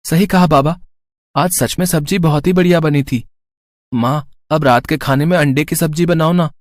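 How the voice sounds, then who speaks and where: A man's voice: a little high, steady tone, moderate pace, dry recording, studio quality, close to an everyday voice. A man voicing an eager, earnest young boy pleading with his parents in a cartoon moral story. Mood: cheerful, pleading.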